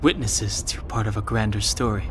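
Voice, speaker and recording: A man's voice: lowish voice, normal speed, a bit bright, natural voice. A man voicing an earnest, resolute young warrior in a video game. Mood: calm, grave. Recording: music behind the voice.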